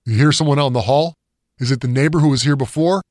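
A man's voice: mid-range voice, fast talker, darkish tone, no echo, studio quality, lightly acted. A man voicing a frightened, infected man who talks tense and blunt.

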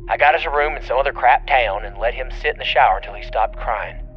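A man's voice: medium pitch, fast talker, natural voice. An actor playing a spooked middle-aged tipline caller, telling his story in colloquial, sweary language as an audio drama voicemail. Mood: uneasy, exasperated. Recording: lo-fi radio-like phone message, music behind. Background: music.

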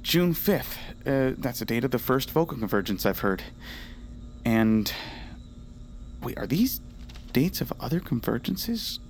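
A man's voice: mid-range voice, big pitch swings, a little slow, slight background noise. An actor playing a flustered male agent in an audio drama, hesitant, mumbling and trailing off. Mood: puzzled, hesitant. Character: groggy, flustered male agent reviewing tapes.

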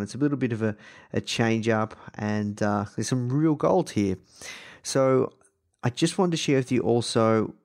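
A man with a fairly low voice hosts a podcast. His delivery is informal and conversational, often doubling up words for emphasis as he addresses listeners or puts questions to a guest. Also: darkish tone. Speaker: podcast host interviewing a guest. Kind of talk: casual podcast intro and interview.

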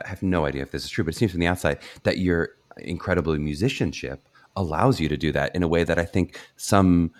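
A man's voice: low voice, dry recording. A young adult man hosting a podcast, effusive and enthusiastic as he praises his guest. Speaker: podcast host, college audition coach. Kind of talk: casual podcast interview.

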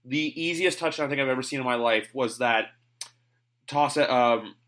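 A man hosting a sports podcast in casual chat, talking on and on with firm opinions. His voice sits at an ordinary middle pitch. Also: normal speed, fairly bright tone. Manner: chatty, rambling, opinionated. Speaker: podcast host.

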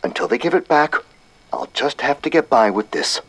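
A man's voice: medium pitch, expressive, normal speed, slightly rough, voice pushed up, rough recording. A man voicing an earnest, wry woman scientist dictating a chatty, precise audio diary in an audiobook story. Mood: wry, exasperated.

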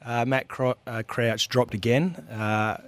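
An Australian man co-hosting a sports radio show, a bit husky from being sick, giving measured, thoughtful football analysis. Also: mid-range voice, level tone, no room sound. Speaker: radio co-host, former footballer. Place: radio studio.

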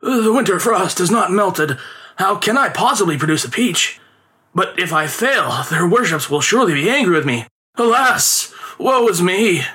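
A man's voice: high voice, expressive, normal speed, sharp tone, pitched above the performer's own voice. A man putting on a fretting old conjurer. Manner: anxious, wheedling, theatrical. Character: fretting old male street conjurer, pleading.

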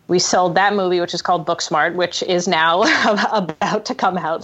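A woman with a slightly low voice talks casually in an interview, in wry and self-deprecating storytelling mode. The audio is somewhat muffled and lo-fi. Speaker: screenwriter guest. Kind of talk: casual conversational interview, telling stories. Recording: dull, lo-fi podcast audio.

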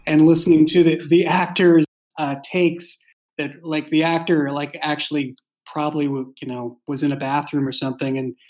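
A man who creates and writes audio drama, chatting casually behind the scenes in a halting, thoughtful way that often circles back. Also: fairly high pitch, level tone, a little mellow.

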